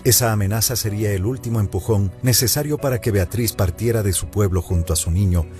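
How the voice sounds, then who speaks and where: A man reading a scripted documentary narration in a measured, solemn way. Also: on the low side, darkish tone, soft background music.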